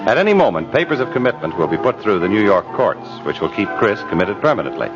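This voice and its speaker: A man's voice: on the low side, big pitch swings, quick pace, over music. A man working as a 1950s radio announcer, reading scripted copy in a polished, emphatic way. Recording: dull vintage radio broadcast recording.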